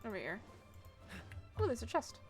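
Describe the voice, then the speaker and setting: A woman's voice: fairly high pitch, wildly swooping pitch, moderate pace. A woman game streamer giving casual, chatty gameplay commentary with informal, rambling asides. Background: video game music.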